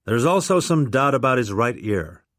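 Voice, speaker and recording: A sober, practical captain who lays out grim facts without fuss, as a man narrating a storybook puts him on. The delivery is level and measured, recorded close and dry. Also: mid-range voice, big pitch swings, fairly bright tone, no room sound. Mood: grave. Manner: measured, matter-of-fact. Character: calm, practical ship's captain tending wounds.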